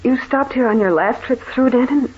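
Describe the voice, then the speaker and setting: A woman's voice: high voice, moderate pace, husky. A fretful woman, muffled old radio horror. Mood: uneasy. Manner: fretful, questioning, urgent. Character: anxious woman traveler, wife of a snappish husband.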